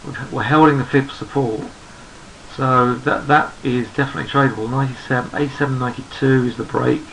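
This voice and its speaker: A hoarse man who is losing his voice, talking through market charts in an unhurried, think-aloud way and often repeating words as he goes. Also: mid-range voice, steady tone, fairly bright tone, slight background noise, poor audio. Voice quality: gravelly. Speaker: trading analyst. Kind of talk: screen-recorded market chart commentary.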